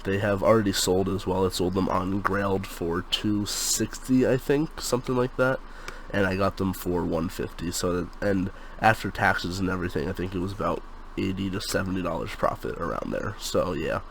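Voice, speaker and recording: A man's voice: low voice, moderate pace, vocal fry, slight background noise, rough recording. A young man with a cold and a congested voice, casually chatting through a sneaker unboxing, filling his talk with um and like. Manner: rambling, lots of fillers like um and like.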